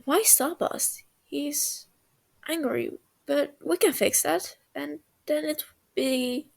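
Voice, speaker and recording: A woman's voice: high voice, slow, gravelly, voice pushed up, exaggerated voice. A woman voicing a cheerful, clingy young man who teases his brother in a storybook reading.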